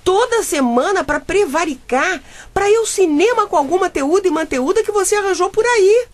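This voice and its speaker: A woman's voice: very high-pitched, animated, moderate pace. A woman playing a suspicious, exasperated middle-aged wife and mother in a comedic radio drama, questioning in a theatrical way. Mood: jealous, accusing.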